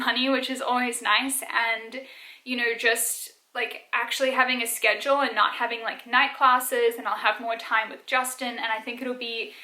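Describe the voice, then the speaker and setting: A young woman in her twenties talks through viewer questions in a relaxed, chatty way, her accent a blend of South African, Australian and American. Her voice is bright and sits a little high. The sound rings around an echoey room. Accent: mixed South African, Australian and American. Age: 20s. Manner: chatty, many "like" fillers, frequent light laughs. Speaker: vlogger. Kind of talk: casual Q&A vlog.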